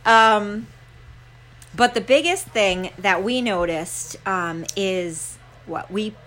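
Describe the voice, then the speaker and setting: A woman's voice: medium pitch, lively intonation, takes it slowly, a bit bright, rough recording. Chatty Rhode Island woman podcasting. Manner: chatty, laughs often, lots of fillers. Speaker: podcast co-host. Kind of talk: casual chat.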